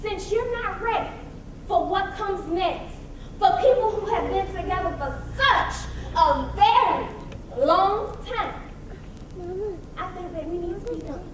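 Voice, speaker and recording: A woman's voice: extremely high pitch, animated, slow pace, heavily acted. An actress playing a hurt, exasperated young woman ending a romance, speaking emphatically and pleadingly in a theatrical stage style. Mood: resigned. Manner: emphatic, pleading, repeats words. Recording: distant, echoey hall recording with audience noise.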